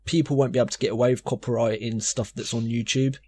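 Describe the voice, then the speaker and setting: A man with a husky voice co-hosting a podcast in casual conversation. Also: medium pitch, steady tone, quick pace, dark tone. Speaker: podcast co-host.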